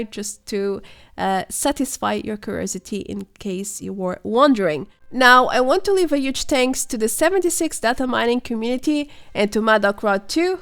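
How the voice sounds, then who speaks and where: A young woman narrates gaming news over her footage. Her voice sits a little high but sounds hoarse and worn, as if she is unwell. She speaks in a measured way, explaining and speculating as she goes. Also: a bit bright. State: hoarse, voice weakened by illness. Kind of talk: scripted voice-over commentary.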